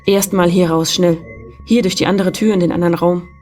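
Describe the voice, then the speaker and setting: A woman's voice: lowish voice, fairly even intonation, fairly quick, darkish tone, faint music behind, natural voice. A woman playing an urgent, determined ally who insistently leads an escape in an audio drama. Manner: insistent, pressing. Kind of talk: German audio drama acting.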